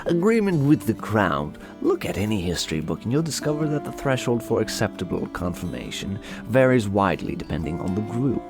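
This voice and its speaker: Man playing a low-voiced haughty, cynical lord. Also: sing-song, a little fast, few pauses. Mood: lecturing. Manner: sardonic, pompous, lecturing. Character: cynical, haughty, indolent manor lord and spell thief. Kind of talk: dramatized fantasy audiobook. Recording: music behind the voice.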